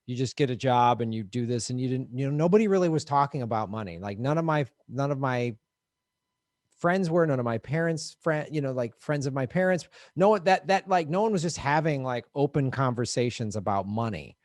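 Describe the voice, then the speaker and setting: A man's voice: mid-range voice, a little fast, no room sound, clean studio sound. A middle-aged man from the American Midwest, a comedian telling stories in a casual chat and laughing often. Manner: animated storyteller, laughs often, acts out voices. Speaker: podcast co-host, touring comedian.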